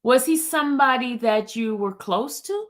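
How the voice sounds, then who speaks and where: A woman reading a male prosecutor's questions aloud in a formal, measured manner. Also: high voice, expressive, fairly bright tone, voice pushed up.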